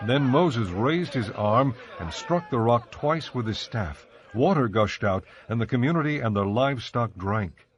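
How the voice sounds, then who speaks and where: A man narrating scripture from a script in a solemn, measured way. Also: mid-range voice, animated. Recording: slightly muffled studio track with music.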